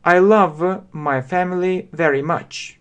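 A man in his thirties with a Russian accent, teaching a language lesson very slowly, spelling out phrases word by word and repeating them at a desk webcam. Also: high voice, lo-fi sound. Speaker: English teacher. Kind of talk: slow step-by-step language lesson. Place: small room indoors.

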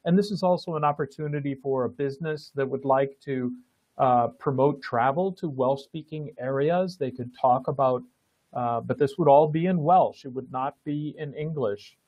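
Man presenting slides on a video call, halting delivery with lots of "uh"s and restarts. Middle pitch, dry and a bit dull in tone. Call audio. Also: no echo. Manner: halting, many fillers and restarts. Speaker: meeting host presenting a program. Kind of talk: online planning meeting presentation.

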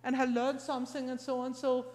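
A middle-aged woman gives an informal academic talk as a university lecturer, speaking in a halting, conversational, explanatory way into a handheld microphone. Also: sits high, completely flat delivery, darkish tone. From Scandinavia. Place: large lecture room, indoors. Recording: reverberant lecture hall PA.

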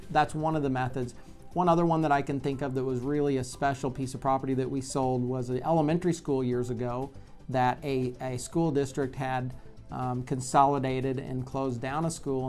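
A man's voice: medium pitch, darkish tone. Conversational man explaining, music behind. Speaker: real estate agent hosting a video blog. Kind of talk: explanatory talk to camera. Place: bright office by large windows, indoors. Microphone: lapel mic.

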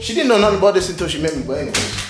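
Animated joking vlog host, lively pitch. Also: male voice, very fast. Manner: animated, joking, laughs often. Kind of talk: casual vlog banter. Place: home kitchen and living room, indoors. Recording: echoey room with music under.

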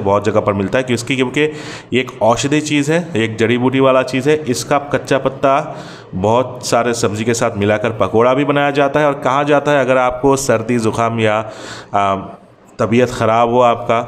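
A man's voice: mid-range voice, slight background noise. Chatty Bengali-native man explaining step by step. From Bangladesh. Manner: chatty, explains step by step and calls the viewer brother. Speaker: fishing channel host. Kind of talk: hands-on tutorial voice-over.

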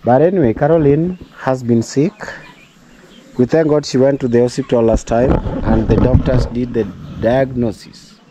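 Kenyan man vlogging outdoors, mellow voice. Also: medium pitch, normal speed, highs cut off, a little hiss or hum. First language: not English. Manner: chatty, preachy, addresses viewers as guys. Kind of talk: casual vlog talk to camera. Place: outdoors at a rural home.

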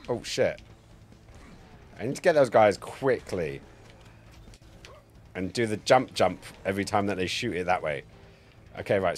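An animated man streams a video game with music playing behind him. He rambles casually between the action and chat, joking and swearing freely into a close mic. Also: mid-range voice. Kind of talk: casual live-stream gameplay commentary and chat. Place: indoor home streaming setup. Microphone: close streaming mic on a boom arm.

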